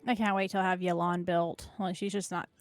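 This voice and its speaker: A woman's voice: lowish voice, little pitch movement, a little fast. A woman chatting casually as a co-op gaming partner, easygoing, informal and slangy. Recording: faint game music behind. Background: game music.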